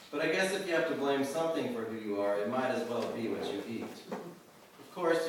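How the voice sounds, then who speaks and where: A man reading his own poetry at a live event, measured and deliberate in the poems and offhand in between. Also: medium pitch. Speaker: poet reading own work. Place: live reading venue, indoors. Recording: roomy echo, distant mic, faint noise.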